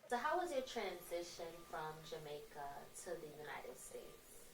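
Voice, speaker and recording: Lowish upbeat woman interviewer, echoey. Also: sing-song. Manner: upbeat, encouraging, quick to laugh along. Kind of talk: casual interview questions. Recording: roomy, distant mic, noisy background.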